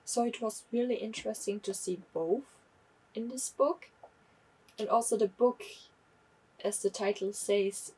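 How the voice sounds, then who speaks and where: Slow, husky woman. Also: mid-range voice, darkish tone, far from the mic, poor audio. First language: German. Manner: chatty, candid, self-correcting. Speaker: book vlogger. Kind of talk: casual book review.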